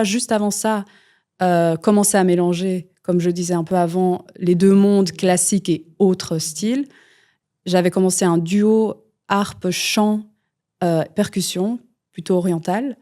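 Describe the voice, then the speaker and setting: A woman's voice: on the low side, warm tone. A woman, a guest musician talking in a casual interview, rambling with many fillers and restarts. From Belgium.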